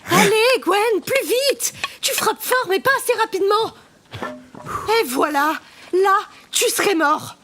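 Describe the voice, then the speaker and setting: Une actrice joue une jeune combattante exigeante mais dévouée, au ton appuyé et sincère, dans une fiction audio. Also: female voice, very high voice, animated, unhurried, a bit bright, a little hiss or hum, exaggerated voice. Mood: commanding. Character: young female fighter, demanding but devoted adoptive sister.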